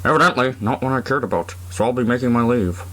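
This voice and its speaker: A man's voice: medium pitch, fast talker, a bit bright, natural voice. An actor playing an abrasive, cynical man at a funeral in an audio drama, curt and foul-mouthed. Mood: irritated, dismissive. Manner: curt, profane, dismissive.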